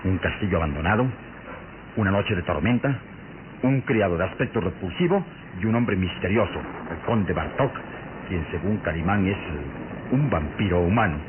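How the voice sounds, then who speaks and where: A man playing a skeptical, gruff police inspector in a radio drama, questioning and thinking aloud in a deliberate way. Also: deep voice, animated. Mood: skeptical, musing. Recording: muffled, noisy old radio drama recording.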